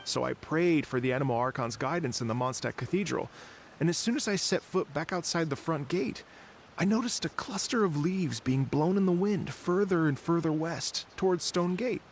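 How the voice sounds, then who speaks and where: A man's voice: on the high side, moderate pace, a little hiss or hum, lightly acted. An actor playing an earnest, wistful middle-aged traveler in a video game, telling his story theatrically and politely. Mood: hopeful, enthusiastic.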